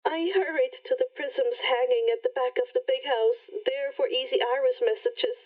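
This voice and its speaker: A woman narrating fan fiction in an expressive audiobook style. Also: high-pitched, normal speed, narrow, tinny audio.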